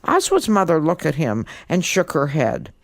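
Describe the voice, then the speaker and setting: Casting: woman for children's story narration, deep voice, theatrical delivery, very lively pitch, dry close sound. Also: moderate pace, no echo. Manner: theatrical, emphatic. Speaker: storyteller, guest podcast host.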